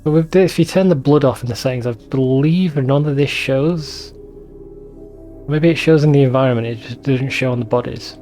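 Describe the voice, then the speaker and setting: Mellow man doing casual game commentary. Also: mid-range voice, moderate pace, faint music behind. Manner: sweary, wry asides. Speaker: let's play host.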